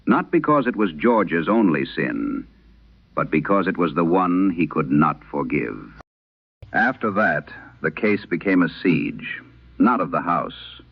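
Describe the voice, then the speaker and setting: Man playing grave, deep-voiced aging priest exorcist. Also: creaky, breathy edge, narrow, tinny audio, exaggerated voice. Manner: grave, measured, solemn. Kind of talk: dramatic first-person horror radio storytelling.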